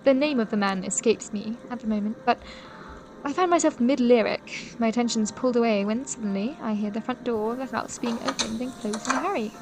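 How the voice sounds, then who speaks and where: A woman's voice: a little high, fairly quick, a little hiss or hum, natural voice, rough recording. A woman playing a proper, caring young lady of 1780s Vienna in an audio drama, with formal, genteel storytelling phrasing. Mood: composed, recounting. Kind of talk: first-person audio drama narration. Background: music.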